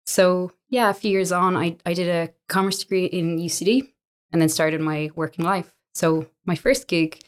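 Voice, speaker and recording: An Irish woman in her thirties, a business owner chatting as an interview guest, relaxed and full of little fillers and restarts. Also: fairly low pitch, normal speed, clean tone. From Ireland. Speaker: guest, co-owner of a coworking space. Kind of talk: relaxed conversational storytelling.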